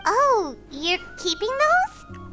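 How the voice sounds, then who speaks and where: Casting: chatty childlike fairy sidekick for a game, extremely high and squeaky, hugely swooping intonation. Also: female voice, very animated, drawn-out words, heavily acted. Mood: surprised, curious. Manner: refers to self in third person, exclamatory. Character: small childlike floating fairy companion, perky and chatty. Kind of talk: video game voice acting. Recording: game music behind the voice.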